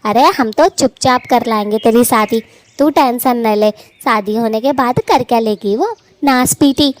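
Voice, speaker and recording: A woman voicing a domineering older mother-in-law in a cartoon, scolding with sharp taunts. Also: on the high side, a little slow, natural voice. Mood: bossy. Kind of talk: Hindi cartoon voice acting.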